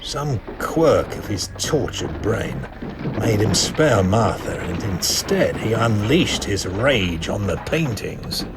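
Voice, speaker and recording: An actor playing a grave asylum doctor who narrates a grim tale in a solemn, ominous manner. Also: male voice, lowish voice, big pitch swings, slow, very noisy, full character voice. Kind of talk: scripted dramatic narration.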